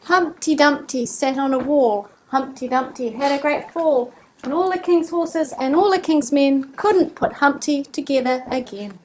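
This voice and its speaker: High-voiced woman reciting a nursery rhyme. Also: measured pace, a little mellow, slight background noise.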